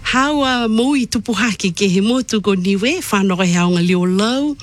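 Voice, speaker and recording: A radio host asking guests questions in a halting way, often repeating words. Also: medium pitch. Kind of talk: interview questions.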